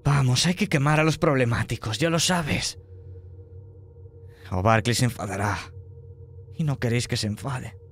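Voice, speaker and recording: A man's voice: mid-range voice, normal speed, long pauses, fairly bright tone, a little hiss or hum, pitched above the performer's own voice, natural voice. A gaunt, burn-scarred old priest, put on by a man in a raised, pushed voice, snapping orders with urgency. He sounds nervous underneath the command, dragging himself along in a tabletop horror game. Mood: urgent. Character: disfigured, limping old male priest, harsh and nervous.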